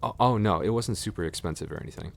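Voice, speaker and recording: A young man playing a gentle, nervous best friend in an ASMR boyfriend roleplay, speaking softly and hesitantly in a tender, reassuring way. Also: fast talker. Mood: nervous, warm. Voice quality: very deep, creaky.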